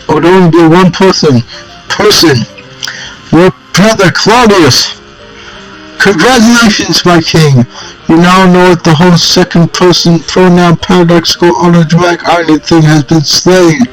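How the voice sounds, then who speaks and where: A man with a slightly high voice reads a text adventure game aloud. He makes amused side comments as he goes. He clears his throat now and then and rereads phrases he stumbles over. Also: steady tone, moderate pace, faint music behind, low-quality recording. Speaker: game player reading aloud.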